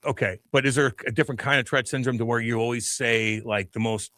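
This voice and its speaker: A man on a sports talk radio show with a forceful, bright voice, recorded dry and close on a studio mic. He argues emphatically, hammering the same points again and again in casual banter. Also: mid-range voice, fairly even intonation, quick pace, dry recording. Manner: loud, emphatic, repeats himself to argue.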